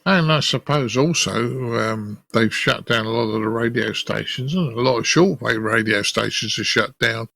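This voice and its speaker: Bright, creaky-voiced podcast host, male. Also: mid-range voice, normal speed, few pauses, no echo. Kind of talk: conversational presenting.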